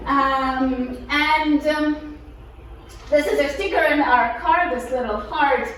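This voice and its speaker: A middle-aged woman with a Ukrainian accent gives an informal presentation in a high-pitched voice. She speaks conversationally and haltingly. The sound is very reverberant and picked up from a distance. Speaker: guest presenter. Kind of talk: informal personal presentation to a group. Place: echoey indoor room, live presentation. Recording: echoey room, distant mic, faint noise.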